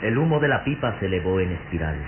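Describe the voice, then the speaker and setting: Deep solemn male radio-drama narrator. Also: big pitch swings, fast, background noise. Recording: muffled old radio recording. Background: music.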